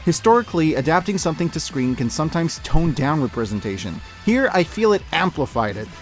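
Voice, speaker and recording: Male video reviewer, slightly high voice, enthusiastic and conversational, music underneath. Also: a little fast. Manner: expressive, opinionated, conversational asides. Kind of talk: enthusiastic scripted review commentary.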